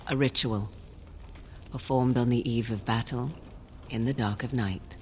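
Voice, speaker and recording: An actress playing a mysterious, guarded young sorceress in a fantasy game, speaking in a cool, formal, archaic manner. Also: female voice, a bass voice, steady tone, unhurried, darkish tone, slight background noise. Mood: cryptic, measured. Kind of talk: fantasy video game dialogue.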